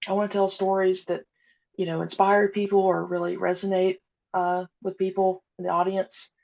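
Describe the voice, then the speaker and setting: Gravelly-voiced woman, dull lo-fi sound. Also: medium pitch, little pitch movement, moderate pace, a little mellow. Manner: easygoing, many fillers and restarts, giggly. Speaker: podcast guest, audio drama creator. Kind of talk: casual interview chat.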